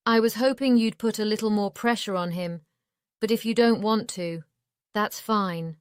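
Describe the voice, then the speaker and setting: A woman's voice: medium pitch, normal speed, clean tone, dry recording, clean studio sound, natural voice. A woman playing a determined young female oracle in a fantasy audiobook, assertive and earnest. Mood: determined, conciliatory. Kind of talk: audiobook drama acting.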